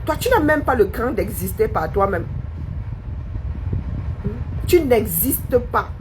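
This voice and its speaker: A woman holding forth on a live stream, scornful and confrontational, peppering a critic with rhetorical questions. Her voice sits somewhat high and leaps around expressively. A faint hum of background noise runs under the recording. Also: animated, slow.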